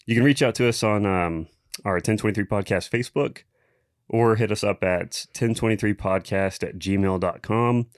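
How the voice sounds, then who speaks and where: Deep-voiced man hosting a podcast. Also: dry recording, studio quality. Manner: relaxed, halting, many ums. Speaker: podcast host. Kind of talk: casual podcast chat.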